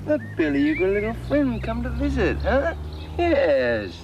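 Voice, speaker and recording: A sing-song, high man's voice, as an actor plays a doting owner coos slowly at his pet. The sound is muffled and noisy, with birds and countryside around him. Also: wildly swooping pitch, far from the mic. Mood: coaxing. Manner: slow coaxing baby talk. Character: doting man fussing over a pet.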